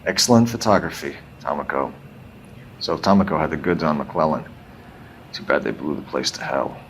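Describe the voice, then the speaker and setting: An actor playing a probing, businesslike police detective in a 1940s video game, with a dry, clipped delivery. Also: male voice, very deep voice, moderate pace, fairly bright tone, a little hiss or hum, rough recording. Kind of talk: cinematic game dialogue.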